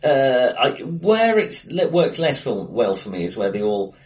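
A man co-hosting a relaxed film chat, mid-pitched, stopping and restarting with ums and laughing easily. He sits a little back from the mic in a bright, reflective room. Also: unhurried, far from the mic. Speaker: podcast co-host. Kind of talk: casual film discussion. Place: acoustically bright room, face to face.

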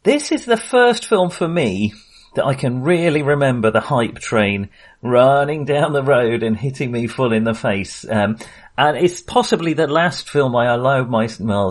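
Jokey middle-aged man hosting a podcast. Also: mid-range voice. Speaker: podcast host. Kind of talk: casual film discussion.

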